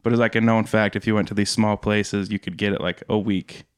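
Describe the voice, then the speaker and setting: A man's voice: lowish voice, flat delivery, brisk, clear, resonant tone, no echo, studio quality. A young man telling rambling stories in casual chat, laughing and swearing, tired from staying up all night.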